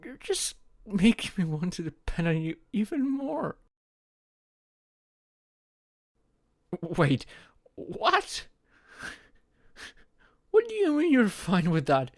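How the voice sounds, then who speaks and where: A young man playing a shy, anxious friend in an intimate audio roleplay, speaking haltingly with sighs and murmurs. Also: high-pitched, huge pitch swings, no room sound, studio quality, heavily acted. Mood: distraught, tearful. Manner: halting, sighing, breathy murmurs rising to tearful outbursts.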